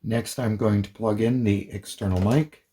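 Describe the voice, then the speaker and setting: A man's voice: on the low side, fairly even intonation, moderate pace, dark tone, slight background noise. A man presenting an informal hands-on demonstration as a hobbyist, explaining things plainly step by step.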